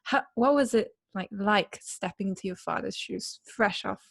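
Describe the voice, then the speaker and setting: A woman asks interview questions in a friendly, encouraging way. Her voice has a gravelly fry, and the sound is close and dry. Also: medium pitch, moderate pace, dry recording.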